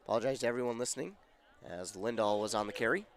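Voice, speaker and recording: A man calling a football game on local radio, his voice going scratchy and hoarse, rising with excitement on big plays. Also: medium pitch, quick pace. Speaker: play-by-play announcer.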